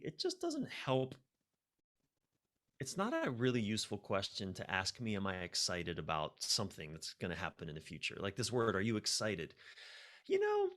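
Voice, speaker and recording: A man speaking as a coach and guest in a podcast conversation, explaining ideas reflectively and haltingly, repeating key words for emphasis. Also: lowish voice, fairly bright tone.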